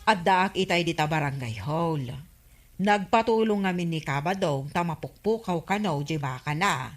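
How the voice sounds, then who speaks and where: Lady doing gossipy kind village woman, ilocano radio comedy, slightly low voice. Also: normal speed, natural voice. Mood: concerned, gossipy.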